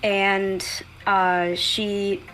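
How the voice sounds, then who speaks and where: A woman in casual livestream chat, telling stories about original characters in a halting, giggly way. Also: mid-range voice, flat delivery, fairly bright tone, slight background noise, poor audio. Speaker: livestream collaborator. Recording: music behind the voice.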